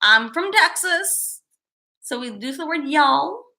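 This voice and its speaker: High bright-voiced woman rambling to her livestream chat. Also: expressive, takes it slowly, vocal fry. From Chicago, USA. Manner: rambling, chatty, reads viewer names aloud. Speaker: livestream host.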